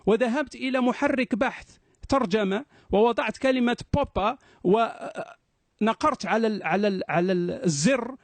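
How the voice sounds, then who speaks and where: A man's voice: extremely high pitch, normal speed, dry recording. A man debating heatedly, protesting and making sarcastic digs at his opponent in an argumentative manner. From Morocco.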